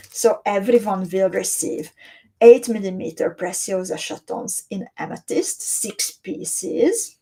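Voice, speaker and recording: A woman's voice: medium pitch, husky. A woman hosting a beading livestream, chatting as she shows off beads and answers viewers by name. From Hungary or Slovakia. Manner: chatty and descriptive, warmly addressing viewers by name. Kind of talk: live-stream chat, showing beads and reading viewer comments.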